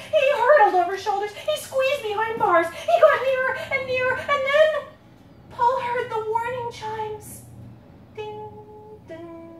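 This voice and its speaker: High, very animated woman reading a picture book. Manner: playful, asks the child questions; dramatic build-ups with drawn-out words. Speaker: children's author reading her own picture book. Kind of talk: animated storytelling read aloud to a young child. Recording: roomy, noisy, distant handheld recording.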